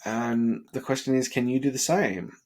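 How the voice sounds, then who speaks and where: A man's voice: mid-range voice, normal speed, darkish tone. Tired, congested man talking earnestly. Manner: earnest, rambling, many fillers. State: congested and sniffly. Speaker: vlogger. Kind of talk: late-night motivational vlog.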